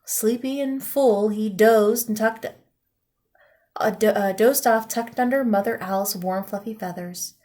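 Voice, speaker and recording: A woman teacher reading a children's picture book aloud with slow, expressive storytime delivery. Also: mid-range voice.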